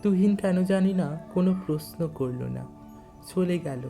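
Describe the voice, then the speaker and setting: A storyteller narrating a scripted audio tale in an unhurried, literary way. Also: mid-range voice, gravelly, warm tone, faint music behind.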